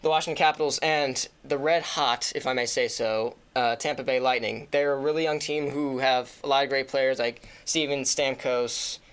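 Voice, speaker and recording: A man's voice: mid-range voice, steady tone, moderate pace, few pauses, a bit bright, clear, resonant tone. A man announcing a hockey preview on a school news show, casual and self-correcting. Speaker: student sports announcer.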